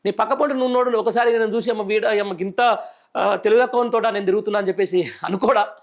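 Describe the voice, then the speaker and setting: High-pitched indignant Telangana man, news host. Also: fast, gravelly. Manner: indignant, rhetorical questioning, emphatic. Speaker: news show host, journalist. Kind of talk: live political news commentary and phone interview.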